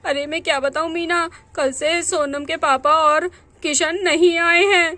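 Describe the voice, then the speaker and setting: A woman's voice: very high-pitched, level tone, normal speed, a bit bright, no echo. Woman voicing a tearful, fretful village mother. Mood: anxious.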